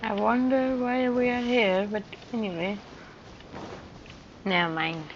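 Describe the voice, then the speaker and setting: A woman's voice: medium pitch, a little slow. A woman streaming a game, thinking aloud in casual, idle commentary. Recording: dull lo-fi stream, faint noise.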